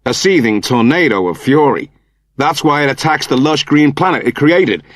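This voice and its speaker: A man's voice: fairly high pitch, lively intonation, moderate pace, dry recording, voice pushed up, lightly acted. Man playing a grave, commanding rebel leader. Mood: grave, indignant. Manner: solemn, formal, declamatory.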